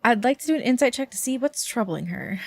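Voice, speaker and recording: A woman game streamer giving casual live reactions in an excitable, giggly manner. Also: fairly high pitch, quick pace, no room sound. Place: streaming at home. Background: game audio with music.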